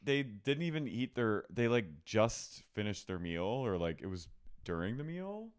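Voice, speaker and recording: A man co-hosting a casual podcast, retelling reality-show scenes and quoting the people in them. His voice is very low but swings widely in pitch, with a smooth, resonant tone. The sound is dry and close. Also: unhurried. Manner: animated, retells scenes with quoted lines. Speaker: podcast co-host. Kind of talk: casual chat recapping reality TV.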